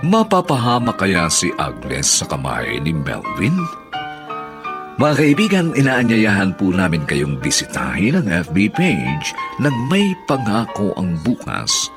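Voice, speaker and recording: Filipino guy announcing a radio soap, slow n theatrical, super swoopy delivery, music behind. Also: low voice. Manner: theatrical, measured. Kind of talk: dramatic scripted narration.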